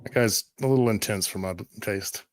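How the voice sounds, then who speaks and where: A man's voice: fairly low pitch, fairly quick, dry recording, natural voice. Man as a slangy, laid-back musician sidekick. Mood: grumbling, casual. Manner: slangy, casual. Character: laid-back young male musician servant Oscar, grumbling.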